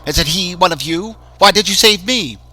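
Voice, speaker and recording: Man voicing a scared, shouting cornered man. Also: high voice, normal speed, a little hiss or hum, voice pushed up. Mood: panicked. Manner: loud, panicky, protesting. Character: terrified cornered young man, defiant. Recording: music bed under voice.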